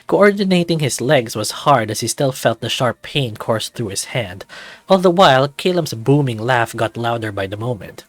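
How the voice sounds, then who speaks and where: A man's voice: medium pitch, lively intonation, normal speed, dry recording. A man reading scripted story narration for a fantasy visual novel. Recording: background music under the voice.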